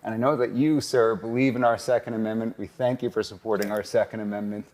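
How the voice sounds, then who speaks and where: A man's voice: on the low side, fairly even intonation, fast talker, darkish tone. A man hosting a casual interview podcast, upbeat and promotional, recorded in a cigar lounge. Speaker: podcast host and lawyer.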